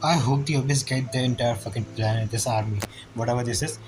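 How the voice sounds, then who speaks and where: Game streamer, casual reactions, blunt, halting, sweary, mid-pitched, prominent music behind.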